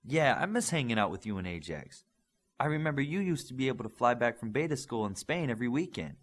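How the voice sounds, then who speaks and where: Eager teenage-style male hero played by a male audiobook reader: earnest, explanatory, lively intonation, slightly husky. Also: medium pitch, fast. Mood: earnest, wistful. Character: eager young male superhero student, earnest and excitable.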